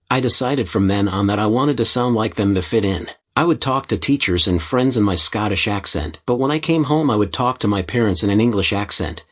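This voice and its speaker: A man narrating online posts as a steady, scripted story reading. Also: mid-range voice, husky.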